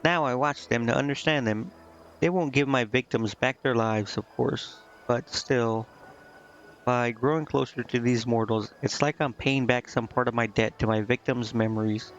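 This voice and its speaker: A man voicing an earnest, naive female succubus from a video game, musing and halting as he reads her lines. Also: medium pitch, fairly even intonation, dull audio, close to an everyday voice. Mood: remorseful. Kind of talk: reading video game dialogue aloud.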